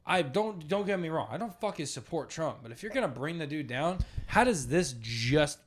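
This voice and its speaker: A man with a slightly high voice trades casual banter as a podcast co-host. He is crude and swears freely, and readily argues a point. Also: sing-song, normal speed. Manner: crude, profane, argumentative.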